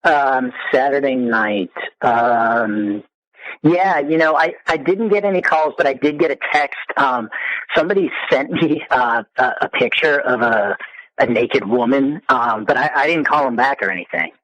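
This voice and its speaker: A man in a casual phone conversation, speaking in a hesitant, halting way. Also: medium pitch, fairly bright tone, dry recording. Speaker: phone call recipient. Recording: dull phone line.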